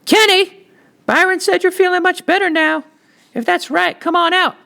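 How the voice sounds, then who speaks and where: Man putting on a very high-pitched little girl. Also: steady tone, moderate pace, creaky, bright, forceful tone, heavily acted. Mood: urgent. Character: excitable little girl, the narrator's kid sister.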